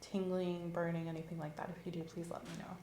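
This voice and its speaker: Soft-spoken woman giving a gentle exam. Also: lowish voice, fast talker, slight background noise. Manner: calm, gentle, clinical instructions, slipping into whispers. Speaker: ASMR creator playing a nurse. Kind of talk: ASMR medical examination roleplay. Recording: roomy echo with clear background ambience.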